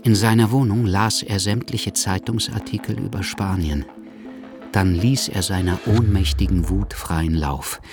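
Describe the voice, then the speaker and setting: A man narrating a literary radio drama in a measured, storytelling manner. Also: deep voice, music in the background.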